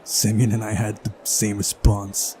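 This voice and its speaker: A man's voice: lowish voice, monotone, measured pace, warm tone, a little hiss or hum. A man narrating a visual novel playthrough, reading aloud and adding casual commentary. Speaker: let's play host.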